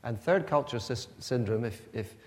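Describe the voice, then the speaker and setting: A man's voice: mid-range voice, lively intonation, normal speed, big-room sound. A man born in Pakistan to missionary parents, preaching in an earnest, conversational way with frequent restarts. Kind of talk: sermon.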